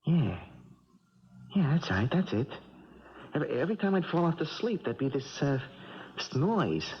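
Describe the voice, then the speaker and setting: A man's voice: fairly high pitch, sing-song, normal speed, a little hiss or hum. An actor playing a sleepless, frightened young male boxer in a 1970s radio drama, stammering and groping for words. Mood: uneasy, groping. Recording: dull 1970s radio recording.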